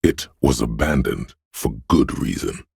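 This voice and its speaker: Game inner voice: dreamlike and foreboding, rock-bottom bass with heavy gravel, hushed and intimate, cryptic dramatic narration. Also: male voice, lively intonation, no echo, studio quality, heavily acted. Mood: ominous, mystical. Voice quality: very deep, gravelly, husky. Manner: slow, hushed, ominous, cryptic second-person pronouncements with dramatic pauses. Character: eerie inner voice of intuition, dreamlike, brooding, intimate.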